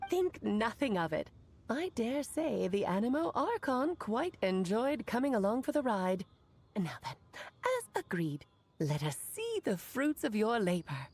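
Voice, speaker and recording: A woman's voice: fairly high pitch, sing-song, measured pace, darkish tone, slightly rough, exaggerated voice. A woman playing an elegant, playful, scheming witch in a video game, speaking in a theatrical, refined, teasing manner. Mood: gracious. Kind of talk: video game character acting.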